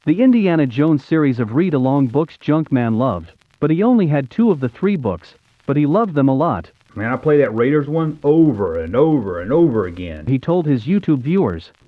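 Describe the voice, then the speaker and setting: Animated American man, tinny narrow sound. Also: medium pitch, normal speed. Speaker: YouTube show host. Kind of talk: scripted nostalgic narration in read-along storybook format.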